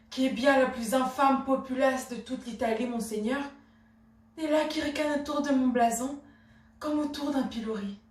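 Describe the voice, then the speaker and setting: Actrice jouant une noble dame outragée, voix lointaine et résonnante. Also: female voice, fairly high pitch, natural voice. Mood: indignant. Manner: imperious, declamatory, formal address. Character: proud, outraged Renaissance noblewoman, an insulted duchess wife. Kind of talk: theatrical classical stage monologue. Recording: echoey room, distant microphone.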